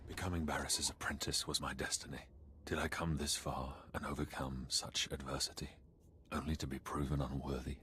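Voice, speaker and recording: Grandiose Sith rival: very low, forceful voice, bitter and menacing, formal game-villain delivery. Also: male voice, level tone, fairly quick, slight background noise. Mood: bitter, defeated. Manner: theatrical, formal, menacing declamation. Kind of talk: video game voice acting.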